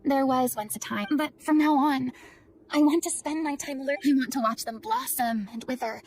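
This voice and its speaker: High-voiced theatrical young woman. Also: moderate pace, soft background music. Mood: resigned, sincere. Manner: theatrical, expressive. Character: theatrical young former star, proud yet flustered. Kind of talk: scripted video game voice acting.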